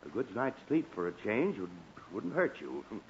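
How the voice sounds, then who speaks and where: A man's voice: on the low side, sing-song, moderate pace, gravelly, a little mellow, breathy edge, exaggerated voice. An actor playing an exasperated, fussy middle-aged father in a 1940s radio comedy, stammering and sputtering. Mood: insistent. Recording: muffled, hissy vintage 1940s radio recording.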